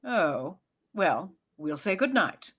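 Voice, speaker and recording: Fretful socialite mother, female actor, vintage radio mystery: gushing, animated, coaxing, melodramatic. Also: lowish voice, takes it slowly, full character voice. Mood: guarded. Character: dramatic, fretful society mother, wheedling and self-pitying. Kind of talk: 1930s radio drama acting.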